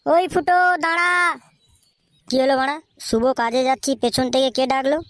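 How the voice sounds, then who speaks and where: A performer voicing a hot-headed, foul-mouthed jilted young man in a comedy cartoon, threatening and shouty. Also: high voice, flat delivery, fast talker, a little hiss or hum, close to an everyday voice. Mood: angry, threatening. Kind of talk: adult comedy cartoon voice acting.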